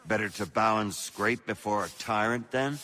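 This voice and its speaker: A man's voice: on the low side, moderate pace, bright, forceful tone. An actor playing a shrewd, genial revolutionary statesman in a game cutscene, talking persuasively and pragmatically. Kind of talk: period video game cutscene acting.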